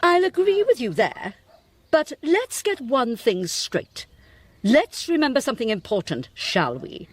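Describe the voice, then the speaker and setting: An actress playing a vain, bossy older Welsh singing star with a grand, theatrical manner in a comic radio play. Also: female voice, high voice, big pitch swings, unhurried, no echo, exaggerated voice. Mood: imperious. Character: imperious, vain faded Welsh singing diva, older woman.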